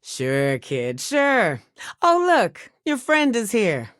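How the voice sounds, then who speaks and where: A woman's voice: medium pitch, wildly swooping pitch, very slow, fairly bright tone, no echo, full character voice. A woman voicing a harried, sassy working mom in a video game, dry and exasperated. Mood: exasperated, sardonic. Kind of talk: video game character acting.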